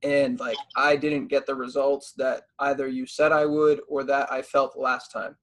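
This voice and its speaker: A man's voice: medium pitch, little pitch movement, measured pace, rough recording. Young American man, casual podcast host. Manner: slangy, bro-like informal phrasing. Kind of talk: casual conversational interviewing.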